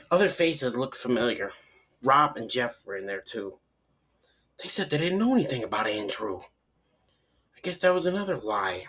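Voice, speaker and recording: Guy reading a creepy horror story out loud, middle pitch, trips on words a bit. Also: slightly rough. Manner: stumbles over words and restarts. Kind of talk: dramatic first-person horror story narration.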